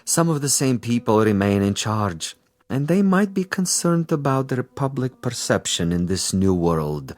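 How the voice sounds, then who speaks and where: A man's voice: mid-range voice, big pitch swings, a little slow, darkish tone. A man playing a cultured, menacing commissar and assassin in a drama, speaking with theatrical mock courtesy and relishing his words. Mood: silky, probing. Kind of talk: dramatic TV-style dialogue acting.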